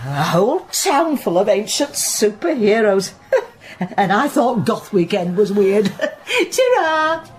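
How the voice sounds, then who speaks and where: A woman's voice: medium pitch, takes it slowly. A woman acting a nosy, gossipy guesthouse landlady who narrates her own story in a radio drama. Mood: amused. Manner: lively, gossipy, laughs easily. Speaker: narrator and lead character.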